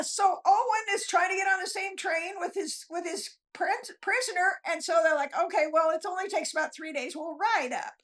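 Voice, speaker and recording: A woman chatting casually as a guest novelist in an interview, telling stories and acting out snatches of dialogue with a ready chuckle. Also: extremely high pitch. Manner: animated storyteller, acts out bits of dialogue.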